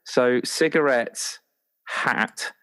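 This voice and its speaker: A man's voice: medium pitch, extremely slow, a bit bright, smooth, poor audio. A man chatting casually and playfully as a roleplaying player, sometimes slipping into imagined dialogue. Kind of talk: casual chat, inventing a character.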